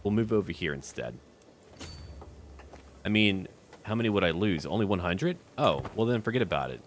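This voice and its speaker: A man's voice: low-pitched, big pitch swings, normal speed, a little hiss or hum. A man giving in-character commentary on a strategy game he is playing, thinking aloud in short, clipped remarks as a ruler. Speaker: gaming video host.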